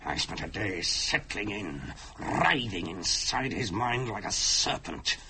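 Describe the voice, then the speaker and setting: A man playing a gloating, menacing possessing spirit in a radio horror drama, speaking in a slow, sinister, theatrical manner. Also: fairly low pitch, animated, vocal fry, very noisy, full character voice. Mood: gloating menace.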